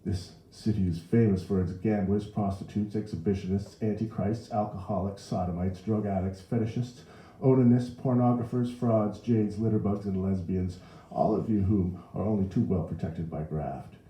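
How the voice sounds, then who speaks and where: A dark-toned, pompous young man, acted by a male narrator holds forth in florid, scornful speeches. He is haughty and declamatory, in a roomy storybook recording with clear echo. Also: on the low side, fairly even intonation, normal speed, pitched above the performer's own voice, close to an everyday voice.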